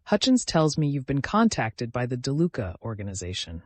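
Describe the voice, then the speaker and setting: A man's voice: mid-range voice, sing-song, moderate pace, dry recording. A man voicing a professional, direct woman prosecutor with controlled tension in a dramatic audio story. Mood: brisk, businesslike.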